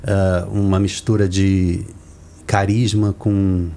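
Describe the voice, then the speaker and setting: A man's voice: deep voice, flat delivery, slow pace, mellow. A Brazilian man hosting a podcast in casual, conversational interview style. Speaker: podcast host. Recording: clear background noise, room ambience.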